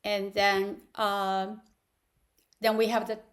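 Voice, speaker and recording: A woman teaching a live online class, speaking haltingly with many fillers and drilling syllables aloud. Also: mid-range voice, little pitch movement. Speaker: language teacher. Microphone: video call.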